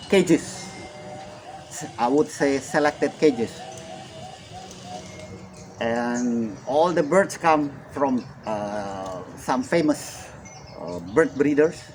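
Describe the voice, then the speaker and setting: A man from Indonesia, a bird breeder, answering interview questions in a slow, deliberate way with hesitations. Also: mid-range voice, a little hiss or hum.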